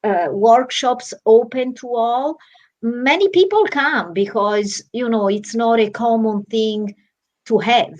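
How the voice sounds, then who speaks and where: Animated Italian woman on a video call. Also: a little high, slow pace, muffled recording. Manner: lively, animated, frequent restarts. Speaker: artistic director, co-host. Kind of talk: casual video-call chat.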